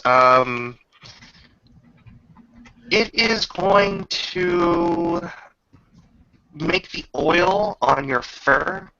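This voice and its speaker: A man with heavy fry and a forceful edge runs a tabletop roleplaying session as game master. His talk is informal and descriptive, moving between casual rulings and narration. The sound is slightly dull, like a lo-fi voice chat. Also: fairly high pitch, dry recording.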